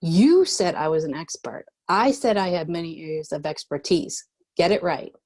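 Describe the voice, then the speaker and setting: A woman's voice: on the low side, expressive, normal speed, a little mellow, no room sound, studio quality. An actress at a table read playing a capable, self-assured female bounty cop with a wry, clipped manner. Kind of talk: screenplay table read acting.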